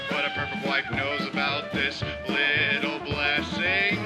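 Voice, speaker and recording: A man's voice: high-pitched, poor audio. Guy doing old radio ad rhyme, drawn out, lots of guitar music under. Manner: drawn-out, rhyming. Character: crooning salad-dressing pitchman reciting rhymes.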